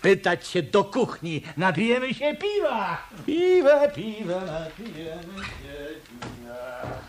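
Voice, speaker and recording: An actor playing a huge, rough, suspicious ex-boxer in a radio play, blustering and repeating himself. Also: male voice, sits high, sing-song, slow pace, exaggerated voice. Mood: boisterous.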